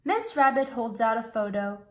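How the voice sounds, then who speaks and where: A woman reading a children's storybook aloud, slowly, clearly and expressively. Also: on the high side, animated. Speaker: storybook reader.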